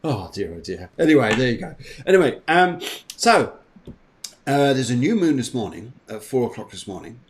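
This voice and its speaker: Male host, chatty and jovial, for livestream morning chat; repeats his phrases, medium-pitched voice. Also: very animated, moderate pace, a little hiss or hum. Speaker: show host.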